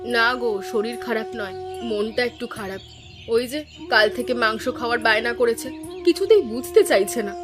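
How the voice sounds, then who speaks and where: A woman voicing a gentle, careworn mother in a cartoon, speaking in a soothing, worried way. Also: a little high, normal speed, music in the background, natural voice. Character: gentle, worried mother and wife in poor family. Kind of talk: cartoon voice acting.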